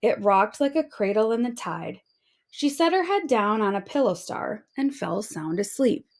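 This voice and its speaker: A woman narrating a children's storybook aloud in an expressive storytelling manner. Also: medium pitch, moderate pace. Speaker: storybook reader.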